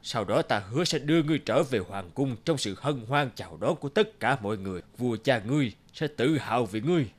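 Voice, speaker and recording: A man's voice: mid-range voice, lively intonation, quick pace, few pauses, close to an everyday voice. A man voicing a stern, commanding wild forest creature who acts as mentor in a cartoon. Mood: stern, instructing. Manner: commanding, bargaining.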